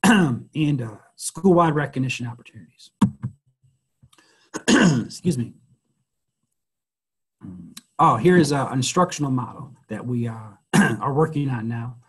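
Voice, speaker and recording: A man presenting a school webinar, hoarse and losing his voice, who often clears his throat and speaks haltingly with many fillers. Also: medium pitch, lively intonation. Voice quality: husky.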